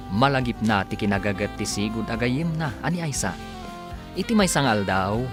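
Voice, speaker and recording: An announcer with an Ilocano accent narrating a scripted radio drama serial, with music behind the voice. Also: medium pitch. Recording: music under the voice.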